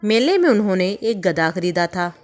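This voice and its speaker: A woman telling a moral story for children in calm, measured scripted narration. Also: medium pitch.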